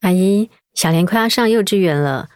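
An actress playing a caring young mother in a radio drama, with a gentle, reassuring way of talking. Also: medium pitch, moderate pace, dry recording, clean studio sound, lightly acted.

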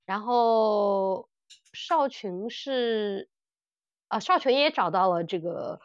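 A middle-aged woman co-hosting a podcast in casual chat, animated and blunt as she talks through audio dramas. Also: medium pitch, slow pace, clean tone, no room sound, dull audio. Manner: animated and blunt, mimics characters' lines. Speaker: podcast host.

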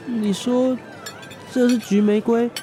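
A man's voice: very high-pitched, flat delivery, slow pace, music in the background. A man with a Taiwanese Mandarin accent playing a chatty, jokey young foodie friend in a podcast radio drama. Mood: curious, surprised.

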